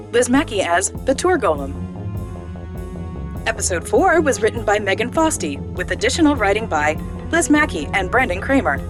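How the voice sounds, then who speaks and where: Formal robot announcer lady reading drama credits, swingy pitch, music under her. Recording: music behind the voice.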